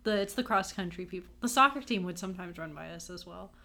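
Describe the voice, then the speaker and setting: A woman's voice: lowish voice, quick pace, bright, forceful tone. A young woman from Texas co-hosting a podcast, chatty and upbeat, joking about herself and laughing as she talks. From Texas, USA. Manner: chatty, upbeat, self-mocking, laughs often. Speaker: podcast co-host. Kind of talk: casual podcast chat.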